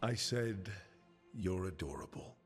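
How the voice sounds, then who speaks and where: A steady young game hero with a fairly deep voice. He talks gently and casually, dryly playful, over background music. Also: slow pace, full character voice. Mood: teasing. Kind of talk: video game dialogue acting.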